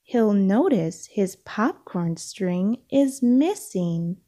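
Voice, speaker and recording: A woman reading a children's storybook aloud, with deliberate, expressive phrasing for young listeners. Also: medium pitch. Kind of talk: read-aloud storybook narration.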